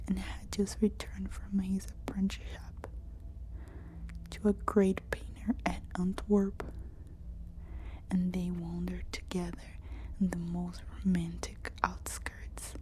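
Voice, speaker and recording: A woman reading a story aloud as whispered ASMR narration, in short, deliberate phrases. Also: on the low side, monotone, mellow, low-quality recording. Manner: slow, deliberate delivery in short, separated phrases.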